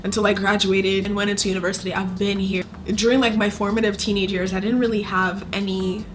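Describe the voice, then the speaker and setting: A woman's voice: medium pitch, little pitch movement, fast, music in the background. A young woman from Zimbabwe who makes videos, giving casual advice in a chatty, emphatic way with lots of fillers. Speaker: content creator. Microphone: phone camera.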